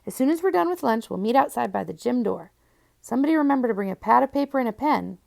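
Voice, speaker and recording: Lady doing a confident breezy schoolgirl for a kids book, upbeat casual chatter, pitched up. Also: expressive, fast, gravelly, a little mellow. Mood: upbeat, organizing. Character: breezy, confident, friendly twelve-year-old artistic girl. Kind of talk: children's storybook character reading.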